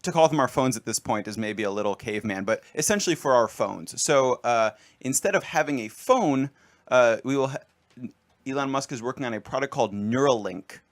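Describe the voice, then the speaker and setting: Male radio co-host, mid-pitched, wry and explanatory, casual banter. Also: expressive, a little fast. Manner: wry, explanatory, riffs on tech news.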